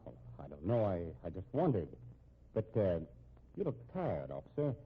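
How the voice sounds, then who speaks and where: An actor playing an anxious, respectable American husband in a 1950s radio drama, speaking in a measured, pointed way. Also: male voice, low voice, wildly swooping pitch, husky, full character voice. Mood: nervously guarded. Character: respectable, anxious, shrewd husband held hostage at home. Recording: muffled, tinny old radio recording.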